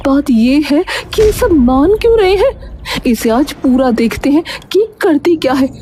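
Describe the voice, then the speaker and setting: A woman's voice: high-pitched, expressive, measured pace, mellow, slight background noise, pitched above the performer's own voice. A woman voicing a suspicious, alarmed village wife, urgent and questioning, in a cartoon story. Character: alarmed, suspicious village women wronged by their husbands.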